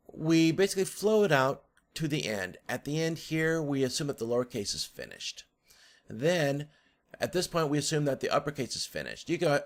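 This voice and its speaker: A man speaks as an instructor walking learners through a diagram. His pitch is middling for a man but moves up and down a great deal. He sounds unscripted and hesitant, often repeating a word or two as he works out the explanation. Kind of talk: explanatory lecture over a diagram.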